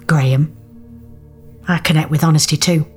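A woman's voice: low voice, fairly even intonation, moderate pace, loud music behind the voice, lightly acted. A woman acting a cool, businesslike character striking a bargain in an audio drama. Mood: cool, pointed.

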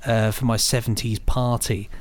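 A man's voice: medium pitch, little pitch movement, normal speed, no echo. A man with a British accent co-hosting a podcast in casual banter. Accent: British English. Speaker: podcast co-host.

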